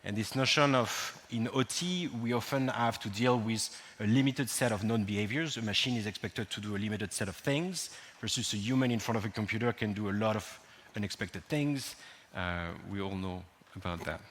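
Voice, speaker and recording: A man's voice: lowish voice, moderate pace, fairly bright tone. A man from France with a French accent, giving a technical conference talk with frequent ums and restarts. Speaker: conference speaker. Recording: long hall reverb.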